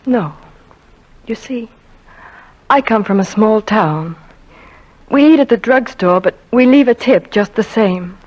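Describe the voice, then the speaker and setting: An actress playing a proud, quietly hurt small-town young wife who speaks in a composed, dignified way, in 1940s radio acting style on a lo-fi old recording. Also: female voice, on the high side, animated, slow, long pauses. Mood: cool.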